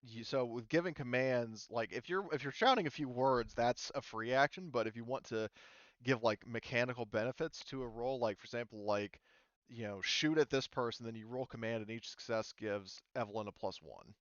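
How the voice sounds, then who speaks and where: A man with a smooth, clear voice acts as game master for a tabletop game. He narrates in a casual, hesitant way, working things out aloud. The recording is very dry and somewhat dull. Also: medium pitch, a little fast, a bit bright, no room sound.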